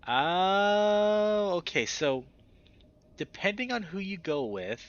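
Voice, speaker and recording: A man's voice: high voice, big pitch swings, takes it slowly, highs cut off. A man reading a visual novel aloud expressively and breaking off for casual commentary. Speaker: gaming channel host.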